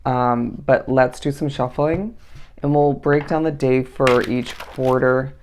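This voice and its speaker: A tarot reader giving a casual daily reading, with a raspy, tired voice in the small hours, musing aloud and often checking in with the listener. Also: medium pitch, steady tone, takes it slowly, darkish tone. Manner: meandering, musing, often asks the listener "okay?". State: tired, up at three in the morning.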